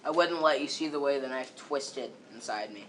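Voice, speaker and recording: Male student performer, mid-pitched, scripted stage recitation, faint background noise. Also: moderate pace, a bit bright, low-quality recording. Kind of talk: readers theater recitation.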